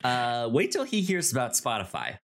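Animated man with a halting, stuttering delivery. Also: mid-range voice. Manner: halting, stuttering on initial sounds. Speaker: podcast co-host. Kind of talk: casual TV discussion.

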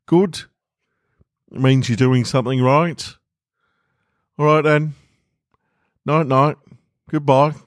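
A man's voice: mid-range voice, steady tone, takes it slowly, long pauses, no room sound, clean studio sound, voice pushed up, close to an everyday voice. A man voicing a blunt working man in a story, insistent and exhorting as he pushes someone toward freedom. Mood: encouraging. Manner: emphatic, exhorting.

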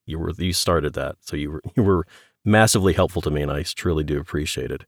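A man's voice: extremely low pitch, fast talker, no room sound, clean studio sound. A man hosting a conversational interview, speaking in a thoughtful, appreciative way. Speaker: podcast host, interviewer.

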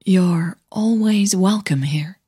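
A woman narrator voicing a kind, forward woman singer in an audio drama, sincere and reassuring, with a soft, breathy voice. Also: lowish voice, slow, full character voice. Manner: warm, reassuring.

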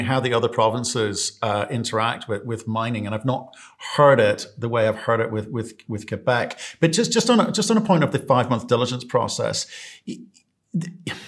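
Male moderator, conversational and probing. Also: medium pitch. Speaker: panel moderator. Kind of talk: panel discussion.

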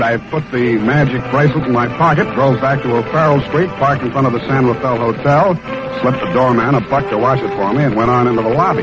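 An actor playing a cool, wry American private detective in a 1940s radio drama, with laconic, slangy hard-boiled delivery. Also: male voice, medium pitch, natural voice. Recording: muffled vintage radio broadcast, music underneath.

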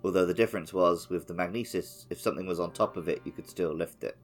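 A man's voice: low-pitched, fast talker, soft background music. A man co-hosting a casual game podcast, explaining game mechanics thoughtfully. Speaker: podcast co-host. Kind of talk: casual chat.

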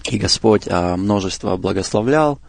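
A man's voice: on the low side, expressive, normal speed, creaky, dry recording. A man in his twenties from Moldova, introducing himself as a student guest on a radio interview. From Moldova, later United States. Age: 20s. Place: radio studio.

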